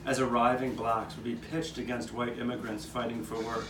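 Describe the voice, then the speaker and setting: A man playing an earnest storyteller from the 1800s, recalling hard times for his neighbors in a mid-pitched voice. He performs live and sounds roomy and set back from the microphone, with clear noise behind him. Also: flat delivery, moderate pace, close to an everyday voice. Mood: earnest recollection. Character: 19th-century Philadelphia man recalling his neighbors' struggles. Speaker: narrator of a puppet show. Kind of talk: scripted first-person historical narration, storytelling. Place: outdoor courtyard, live performance.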